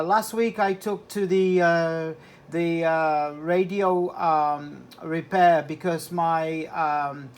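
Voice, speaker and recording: A man talking casually as a radio operator over a two-way link, hesitant and repeating words. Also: high-pitched. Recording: slightly noisy two-way radio transmission.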